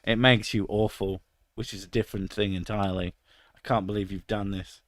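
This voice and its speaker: A man's voice: lowish voice, steady tone, fairly quick, dry recording, clean studio sound. A British man in his thirties chatting casually on a stream, crude and sarcastic, swearing freely. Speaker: streamer reading chat. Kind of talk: casual live stream chat.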